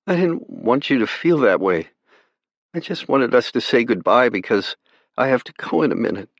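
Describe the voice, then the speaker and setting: A man's voice: mid-range voice, animated, moderate pace, no room sound, pitched above the performer's own voice. A man voicing a frightened, brave teenage girl in an audiobook, pleading and tender. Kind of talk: audiobook character voice.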